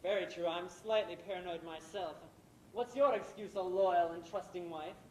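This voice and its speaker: A smug middle-aged husband and playwright played by a man on stage, coolly ruthless and theatrically sardonic. The voice rings in an echoey room with some background rumble, like an amateur recording of a live play. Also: moderate pace, natural voice. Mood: mocking.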